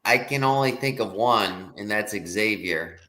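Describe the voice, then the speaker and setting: A man's voice: fairly low pitch, unhurried, sharp tone. A man from Palm Beach, Florida, chatting casually on a stream, careful and apologetic as he corrects himself. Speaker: stream guest. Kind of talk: casual chat.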